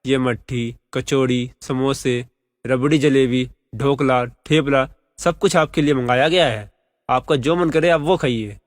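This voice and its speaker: A man's voice: medium pitch, a little slow, no echo, studio quality, in a large hall, close to an everyday voice. A man voicing a pushy, hospitable middle-aged uncle in a family story. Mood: insistent hospitality.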